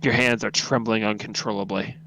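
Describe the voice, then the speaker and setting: A man's voice: lowish voice, steady tone, a little fast, pushed and bright, poor audio. A man reading a horror story aloud as narrator, dramatic, intense and suspenseful.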